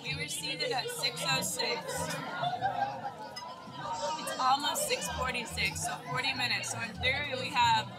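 A woman's voice: high voice, fairly even intonation, a little slow, long pauses, loud music behind the voice. A woman in her thirties chatting casually as she reviews restaurants on camera, laughing often and giving frank ratings. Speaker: travel vlogger. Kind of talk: casual food review chat. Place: busy city streets and restaurants, indoors and out. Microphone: handheld camera at arm's length. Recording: loud street crowd and traffic noise. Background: crowd chatter and traffic.

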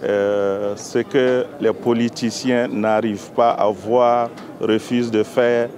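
A man giving a deliberate interview answer with pauses. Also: mid-range voice, little pitch movement, a crowd in the background.

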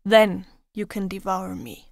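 A woman voicing a proud, solemn young woman monster trainer in a manga dub, speaking in an earnest, measured, theatrical way. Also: medium pitch, big pitch swings, dry recording, clean studio sound. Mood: grave, pleading. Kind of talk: dramatic manga dub acting.

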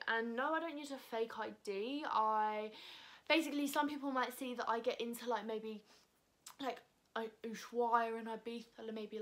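A teenage British girl talks straight to the camera from her sofa at home. She speaks in a fairly high, chatty voice, full of "like" and "um". Her delivery is casual and animated. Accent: British English. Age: teens. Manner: chatty, animated hand gestures, frequent "like" and "um". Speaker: YouTuber. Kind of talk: casual vlog answering viewer assumptions. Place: living room, seated on a sofa indoors. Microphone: camera in front at close range.

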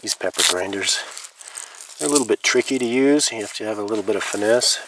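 A man's voice: fairly low pitch, quick pace. A man in his thirties talking casually to the camera while he cooks and eats at a forest campsite, savoring the food. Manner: relaxed, murmuring while tasting food. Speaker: outdoor cooking vlogger. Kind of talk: casual camp narration. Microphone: camera mic a few feet away. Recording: distant outdoor camera audio with noise.

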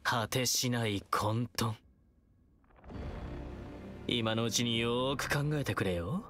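An actor playing a taunting, playful and menacing young male villain in a video game, with a theatrical, teasing, drawn-out delivery. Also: mid-range voice, over loud music, lightly acted. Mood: mocking, playful.

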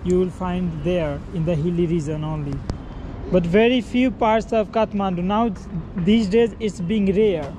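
A man's voice: high-pitched, fairly even intonation, measured pace. A Nepali man with a Nepali accent, a tour guide hosting a travel vlog in an outdoor garden café and telling viewers what he is doing. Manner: upbeat, tells viewers what the group is doing. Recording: outdoor street noise behind.

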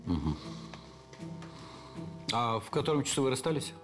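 An actor playing a methodical prosecutor's investigator who questions witnesses in a measured, dry, ironic way, in a crime reenactment. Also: male voice, mid-range voice, big pitch swings. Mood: polite. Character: shrewd, methodical male investigator, calm and probing. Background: background music.

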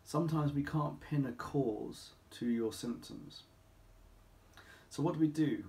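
A middle-aged man speaking as a clinic doctor, explaining to camera in an emphatic, deliberate way with rhetorical questions. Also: medium pitch, vocal fry, mellow. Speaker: doctor at a men's health clinic. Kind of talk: informal explainer talk to camera. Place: small home office or consulting room, indoors.

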